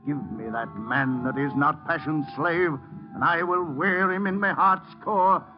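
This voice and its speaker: On a dull vintage radio recording, a man plays an old tragedian lost in his dreams. He declaims verse slowly and mournfully in a fairly high male voice, with grand theatrical weight. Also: over music, lightly acted. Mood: anguished. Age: older. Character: broken old Shakespearean actor, tragic, lost in dreams. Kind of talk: 1950s radio drama acting.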